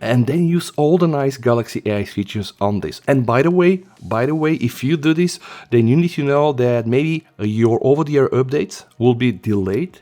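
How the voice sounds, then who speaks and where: A man's voice: mid-range voice, expressive, moderate pace, mellow. A man narrating a step-by-step tech tutorial in a casual, instructional way, stressing the key points. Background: background music.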